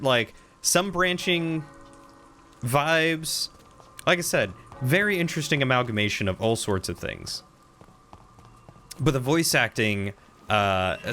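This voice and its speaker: A man's voice: mid-range voice, bright, forceful tone. A man in his thirties chatting casually with viewers while commenting on the video game he plays. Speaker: game streamer.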